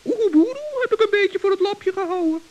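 A woman's voice: very high voice, animated, brisk, darkish tone, muffled recording. A woman voicing a cheerful, brave male forest gnome in an old children's radio play, chatty and earnest. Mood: chirpy.

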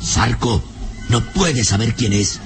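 An actor as the storyteller of an adventure radio serial, narrating in a theatrical, suspenseful, carefully enunciated way. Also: male voice, medium pitch, expressive, takes it slowly, faint music behind. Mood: tense.

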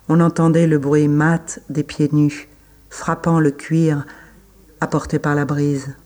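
Slow, soft-toned man reading literary prose. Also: fairly high pitch. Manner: measured, pausing between short phrases. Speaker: reader. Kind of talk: slow literary recitation in a radio play.

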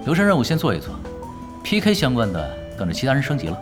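A man's voice: mid-range voice, fast talker. A man playing a laid-back young esports pro in an audio drama, explaining like an expert and teasing in a nonchalant way. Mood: relaxed. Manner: relaxed, nonchalant, analytical. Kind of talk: audio drama acting, conversational. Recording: music behind the voice.

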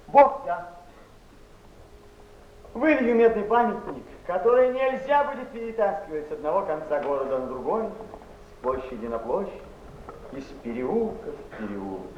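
An actor playing a bitter, proud, passionate poet, reciting verse and letters in a drawn-out theatrical declamation. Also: male voice, high voice, sing-song, highs cut off. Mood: wry. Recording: noisy, echoey 1970s Soviet stage-play recording, distant.

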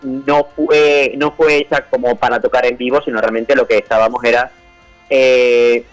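A man's voice: medium pitch, little pitch movement, moderate pace, soft background music. A man from Venezuela, a band founder, answering interview questions hesitantly, with many restarts. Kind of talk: casual interview over a call.

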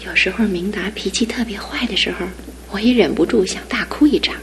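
An actress in her twenties playing a cheerful, resilient young wife in a radio drama, soft and earnest. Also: medium pitch, brisk, slight background noise, natural voice.

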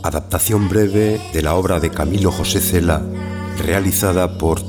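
A man's voice: sits low, moderate pace. A man from Spain reading scripted credits as a radio announcer. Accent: Spanish, from Spain. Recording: clear music behind the voice.

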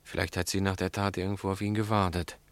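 An actor playing an uneasy, doubt-ridden shop owner, hesitant and brooding, in a German radio play. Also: male voice, low voice, level tone, fast, clean tone. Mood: grumbling, glum.

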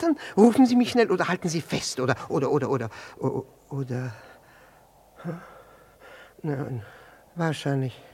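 An actor playing a flustered, over-polite jealous husband in a 1960s German radio play, stammering, repeating words and breaking off mid-sentence. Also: male voice, a little high, a little mellow, slightly rough, full character voice.

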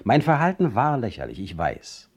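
A man's voice: mid-range voice, wildly swooping pitch, normal speed, breathy edge, no room sound, full character voice. An actor playing a proud, ironic, brooding poet in a radio play, a man talking in a rhetorical, theatrical manner. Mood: defiant, ironic. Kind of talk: German radio play acting, letter monologue.

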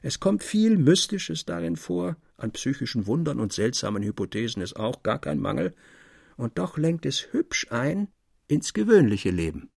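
Genial writer, animated pitch, creaky husky edge, measured formal phrasing, radio drama. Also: male voice, dry recording, studio quality, full character voice. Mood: assured, soothing. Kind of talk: literary radio drama acting.

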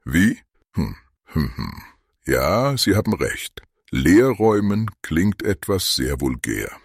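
A man acts out a smug burglar from a trashy comedy radio play. His voice is extremely low and husky, swinging around in pompous, polite self-satisfaction, in a dry recording. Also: sing-song, takes it slowly, no echo, heavily acted. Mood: smug, conspiratorial. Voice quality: gravelly, very deep. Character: smug, scheming male burglar plotting on the telephone.

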